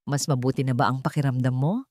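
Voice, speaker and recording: Woman voicing a calm, guarded Filipino gentleman farmer. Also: extremely low pitch, fast, no echo, studio quality, pitched below the performer's own voice. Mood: concerned, guarded. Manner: calm, direct. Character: guarded young male farmer who is secretly a CEO.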